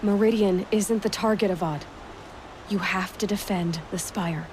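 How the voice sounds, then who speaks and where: A woman's voice: mid-range voice, steady tone, a little slow, noisy background, lightly acted. A woman playing a determined, plain-spoken young warrior in a video game, talking directly. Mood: grave, urgent. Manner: direct, resolute, unadorned. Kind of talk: video game cutscene acting.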